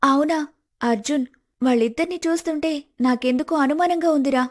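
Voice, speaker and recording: A woman voicing a suspicious, protective young woman in an animated moral story, earnest and probing. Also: high voice, fairly quick, close to an everyday voice. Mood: surprised, suspicious. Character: suspicious, protective young woman helping her male friend.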